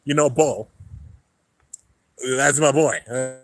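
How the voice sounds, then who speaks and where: A young man hosting a casual livestream and reading viewer comments, laid-back and rambling, a little congested. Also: takes it slowly. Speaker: YouTube host reading live chat. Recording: low-end home stream setup.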